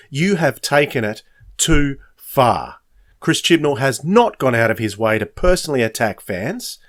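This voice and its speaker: A man hosting a podcast, giving an opinionated monologue in an earnest, emphatic way, stressing points by repetition. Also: on the high side. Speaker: podcast host.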